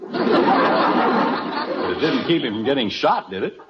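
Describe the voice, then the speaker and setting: A man's voice: medium pitch, huge pitch swings, takes it slowly, a loud crowd, very noisy. An actor playing a stubborn, exasperated family father in an old-time radio comedy, blustering and argumentative. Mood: exasperated, sarcastic. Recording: dull old radio recording.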